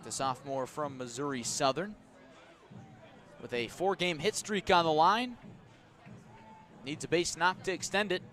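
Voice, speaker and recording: Higher-pitched man calling baseball play-by-play. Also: a bit bright. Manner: measured delivery that rises on action calls. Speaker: baseball play-by-play radio announcer. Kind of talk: live sports commentary with descriptive asides. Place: outdoor ballpark broadcast position above the stands.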